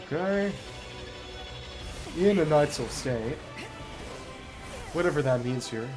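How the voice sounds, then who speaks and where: Wry, animated game streamer over loud music. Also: medium pitch, takes it slowly. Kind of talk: game stream, reading dialogue aloud and reacting. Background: video game music.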